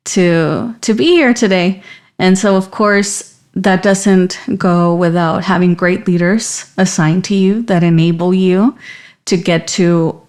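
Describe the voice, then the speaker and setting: A woman from Mexico, a native Spanish speaker, talking as a guest in an interview with a halting manner and frequent restarts. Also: lowish voice. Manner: warm, halting, many restarts. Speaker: call center lead, guest. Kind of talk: interview conversation.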